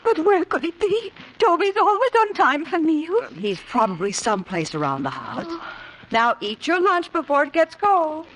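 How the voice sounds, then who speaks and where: A woman's voice: very high-pitched, big pitch swings, moderate pace, fairly bright tone, a little hiss or hum, exaggerated voice, rough recording. A woman playing a conniving younger niece, nervy and falsely sweet, in an old-time radio horror drama.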